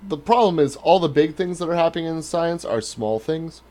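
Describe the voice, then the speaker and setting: A man's voice: on the high side, animated, brisk, warm tone. A man co-hosting a podcast in casual chat, talking in an informal, blunt way. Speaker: podcast co-host.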